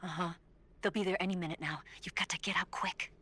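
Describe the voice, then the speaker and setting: A woman's voice: fairly low pitch, fairly even intonation, fast talker, bright, forceful tone, husky. A woman playing a capable, secretive young female spy in a video game, urgently guiding an ally. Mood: serious, urgent. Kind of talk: video game cutscene acting.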